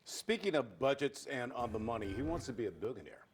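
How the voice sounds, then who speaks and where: A man's voice: medium pitch, very animated, brisk, a little hiss or hum. A man with an American accent working as a morning news anchor, reading headlines and bantering casually. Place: TV studio.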